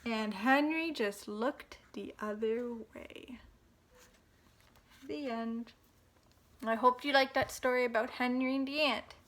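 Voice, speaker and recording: A woman hosting storytime, reading a children's picture book aloud in an expressive, dramatic way for young listeners. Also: on the high side, slow pace, pauses often, a little hiss or hum.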